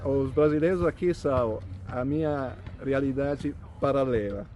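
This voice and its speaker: A man's voice: medium pitch, unhurried, a little mellow, slight background noise. An Italian man, a native Italian speaker with an Italian accent, chatting as an interview guest and often repeating words while he searches for them. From Italy. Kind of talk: casual conversation.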